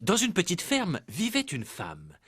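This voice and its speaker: Wildly expressive cartoon storyteller. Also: male voice, high voice, unhurried, noisy background, heavily acted. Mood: teasing. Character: wry neighbourhood storyteller telling a folk tale.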